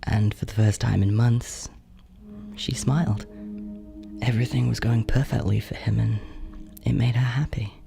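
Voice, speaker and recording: A man acting a gravelly, bitter, confessional brother. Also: medium pitch, fairly even intonation, music in the background. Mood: bitter, melancholy. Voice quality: gravelly, creaky. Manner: hesitant, confessional, halting, drops toward whispers. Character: jealous, guilt-ridden older brother confessing dark resentment. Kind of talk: horror audio drama monologue.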